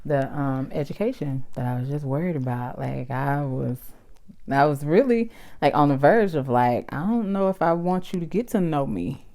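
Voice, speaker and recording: A woman's voice: deep voice, lively intonation, normal speed, warm tone. A woman in her forties with a Southern accent, chatting casually with her partner, full of fillers and bursts of laughter. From American South. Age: 40s. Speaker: vlogger talking with her partner. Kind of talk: casual couple chat.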